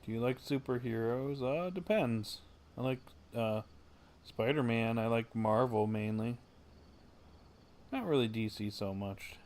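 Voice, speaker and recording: A man's voice: mid-range voice, unhurried, a little mellow, a little hiss or hum. A man livestreaming a game, giving casual commentary and chatting as he plays. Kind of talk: casual live gaming commentary.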